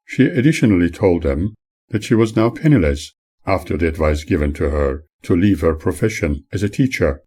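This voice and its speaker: Male author, audiobook narration, dark warm tone, husky, formal measured delivery. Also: sits low, lively intonation. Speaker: author reading own memoir. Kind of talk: scripted narration, audiobook reading.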